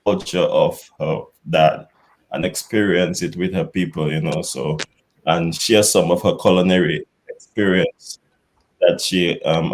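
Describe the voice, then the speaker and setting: Dominican guy, lowish voice, laid back, chef talking about food. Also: darkish tone, low-quality recording. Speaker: chef guest. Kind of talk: interview answers.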